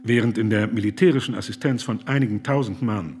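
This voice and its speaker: A man's voice: on the low side, quick pace, a little mellow, natural voice. An actor playing an earnest German humanitarian in a radio play, pressing his accusations in a pointed, indignant way. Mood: firm.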